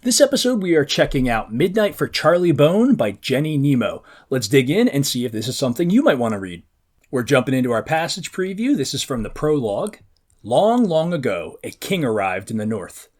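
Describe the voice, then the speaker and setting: A man's voice: mid-range voice, sing-song, brisk, darkish tone. A man presenting a book preview for young readers, reading aloud expressively and drawing out words for dramatic emphasis. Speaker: host of a children's book preview show.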